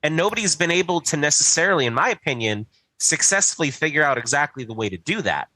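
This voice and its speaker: A man with a bright, firm voice in a very dry recording. He co-hosts a podcast and lays out his reasoning in a conversational, explanatory way, checking in as he goes. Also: fairly high pitch, very animated, fast talker, dry recording, low-quality recording. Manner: explanatory, checks in with "right" and "you know". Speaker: podcast co-host. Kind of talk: casual explanatory discussion.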